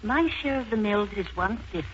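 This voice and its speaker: An actress in a 1940s radio drama playing a frail, dying elderly mother, kindly and quietly determined, with a weary, tender delivery. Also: mid-range voice, expressive, a little fast, close to an everyday voice. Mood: resigned. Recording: muffled, noisy 1940s radio recording.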